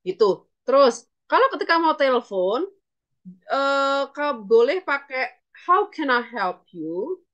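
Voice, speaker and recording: Female Indonesian lecturer, higher-pitched, instructive delivery for an online language class with example phrases. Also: big pitch swings, slow, a bit bright, highs cut off. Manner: instructive, repeats and translates phrases. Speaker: English lecturer.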